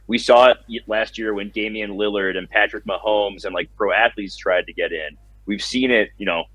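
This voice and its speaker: A man with a low, husky voice co-hosts a casual interview show. He sounds close and dry as he reflects on his guest's work and affirms it. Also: fairly bright tone, dry recording, poor audio. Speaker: podcast co-host.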